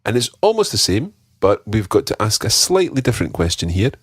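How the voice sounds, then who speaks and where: Deep-voiced, lively male teacher. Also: slightly rough, no echo, studio quality. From Scotland. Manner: patient, slow model pronunciation with repeated drills. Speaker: language teacher. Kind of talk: teaching a podcast language lesson.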